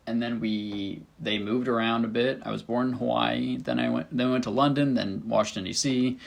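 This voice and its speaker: A young man from St. Louis, hosting a podcast and chatting casually in a relaxed, hesitant way with lots of ums. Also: mid-range voice, gravelly. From St. Louis, Missouri. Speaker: podcast host. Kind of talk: casual conversation.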